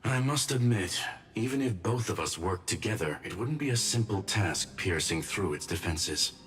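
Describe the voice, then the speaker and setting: A man voicing a courteous, logical machine intellect in a video game, speaking in a measured, formal, analytical way. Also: low-pitched, lively intonation, fairly bright tone, slight background noise. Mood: calm, analytical.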